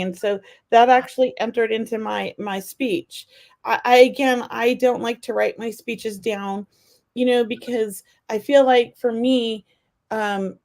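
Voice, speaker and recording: A woman's voice: on the high side, a little mellow. A middle-aged woman co-hosting a spiritual radio talk show, rambling enthusiastically with many fillers and restarts. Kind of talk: casual talk show chat.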